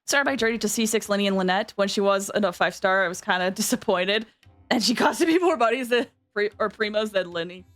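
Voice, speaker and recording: A woman's voice: medium pitch, quick pace. A woman streaming a game with casual, exclamatory commentary, often musing aloud. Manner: animated, exclamatory, muses aloud. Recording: faint game music behind.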